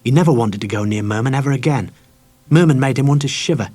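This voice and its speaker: A man's voice: mid-range voice, quick pace, dark tone, husky. A man narrating a children's novel as a scripted audiobook.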